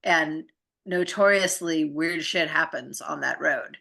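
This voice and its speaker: A woman with a notably low voice that still sounds bright and forward. She explains her ideas conversationally and earnestly, rambling informally and often checking in with the listener. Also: takes it slowly, creaky. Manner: informal, earnest, rambling with frequent tag questions.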